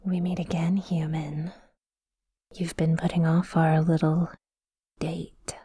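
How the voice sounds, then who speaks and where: A woman playing a possessive, menacing, teasing yandere demon in a breathy, whispered ASMR roleplay, slow and intimate. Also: sits low, level tone, dark tone, slight background noise. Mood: teasing menace.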